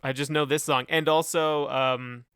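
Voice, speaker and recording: A man's voice: fairly high pitch, steady tone, unhurried, fairly bright tone, clean tone, no room sound, studio quality. A man co-hosting a podcast in casual chat, talking in a hesitant, rambling way full of hedges. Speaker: podcast co-host.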